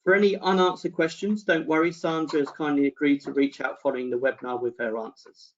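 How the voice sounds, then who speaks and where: A man's voice: on the high side, steady tone, fast. A man hosting and moderating an online webinar in a polite, organised, fairly formal way. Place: online video call. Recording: dull online call audio.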